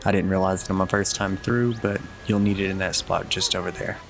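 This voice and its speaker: A man's voice: low voice, steady tone, fairly quick, vocal fry, soft background music. A man giving casual video game walkthrough commentary and tips in a matter-of-fact, advisory way.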